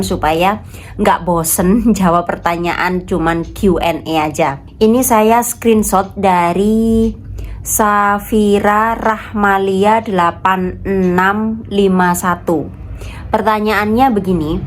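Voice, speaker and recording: A woman's voice: fairly low pitch, a little hiss or hum. A woman in her thirties from Indonesia with a stuffy, congested nose from a cold, chatting casually to her viewers in a home vlog. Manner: chatty, addresses viewers as friends. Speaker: vlogger.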